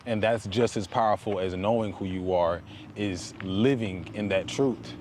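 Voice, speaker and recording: Man, somewhat low pitch, conversational, motivational, emphatic repetition, faint outdoor traffic noise. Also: unhurried. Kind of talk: motivational conversational talk while walking. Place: outdoors, walking up a paved hill. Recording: noisy outdoor recording with traffic.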